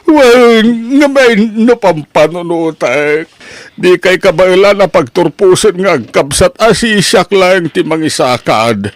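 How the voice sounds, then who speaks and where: A man playing an emotional, doting rural father in an audio family drama, with drawn-out exclamations. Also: high-pitched, lively intonation, dry recording. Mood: tearful, moved. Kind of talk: Ilocano radio-style drama acting.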